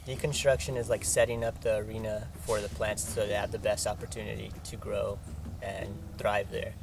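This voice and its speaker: A man's voice: on the low side, level tone, moderate pace, creaky, over music. A man in his twenties from Southern California, a restoration crew member, explaining his work thoughtfully in a documentary interview. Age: 20s.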